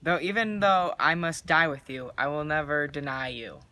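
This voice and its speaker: A man's voice: fairly high pitch, moderate pace, sharp tone. A man playing a loyal, insistent disciple in an amateur dramatic scripture reading, speaking in earnest declarations. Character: loyal, insistent male disciple pledging devotion.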